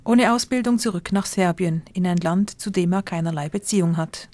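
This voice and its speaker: A woman reporter giving scripted, measured narration for a news feature. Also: lowish voice, a little mellow. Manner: measured, descriptive.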